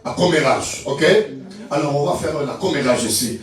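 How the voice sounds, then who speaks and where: A man's voice: fairly high pitch, normal speed, distant mic. A man from Angola, a guest pastor and not a native French speaker, preaching a loose, chatty sermon and drawing the congregation into call and response. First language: not French; English and Portuguese speaker. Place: church hall with congregation. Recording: echoey hall, heard from afar.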